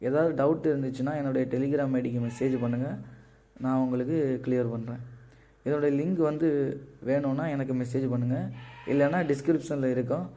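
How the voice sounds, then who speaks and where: A man's voice: mid-range voice, steady tone, brisk, dark tone. A man casually explaining a product and how to use it, as its seller. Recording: slightly muffled, dull audio.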